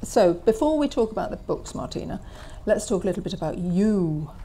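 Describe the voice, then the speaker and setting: A woman's voice: mid-range voice, expressive, a little fast, dark tone, slight background noise. A woman interviewer hosting a live talk before an audience, giving an enthusiastic introduction and then prompting her guest with leading questions. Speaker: interviewer and event host.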